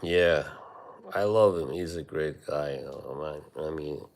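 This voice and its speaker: Man, Bosnian accent, live streamer, slow rambling talk, lots of fillers. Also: a bass voice, creaky. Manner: rambling, halting, with many fillers. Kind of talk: casual live stream chat with viewers.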